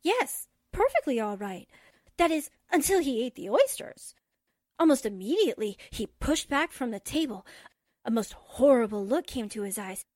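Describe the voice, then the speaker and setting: Chatty office manager lady, high animated voice, frank, old radio drama style. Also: moderate pace, dry recording, clean studio sound. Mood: distressed. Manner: emphatic, frank. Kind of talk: 1940s radio drama acting.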